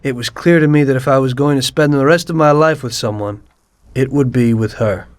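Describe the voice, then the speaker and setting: An actor playing an easygoing, loyal mobster, understated and wry, in a video game. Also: male voice, medium pitch, brisk, no room sound, lightly acted. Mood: reflective. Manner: laid-back, understated, wry. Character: easygoing, loyal male mobster, reflective narrator. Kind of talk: video game cutscene acting and narration.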